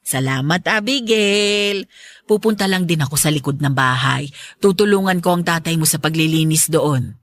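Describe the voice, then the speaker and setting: A woman's voice: low voice, normal speed, natural voice. A woman playing a caring but firm middle-aged mother in a radio drama, with a motherly, patient manner. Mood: kind.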